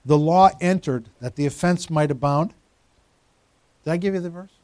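A man's voice: fairly high pitch, normal speed, darkish tone, dry recording. A pastor in his fifties giving a conversational sermon, teasing members of the congregation by name. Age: 50s. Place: church.

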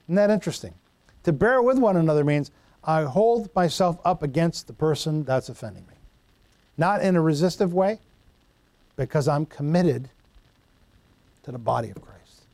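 A middle-aged male pastor with a slightly higher voice delivers a folksy, conversational sermon. He trips over Greek words and drifts into homely stories. The sound is dry and close. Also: animated, normal speed, long pauses, darkish tone, dry recording.

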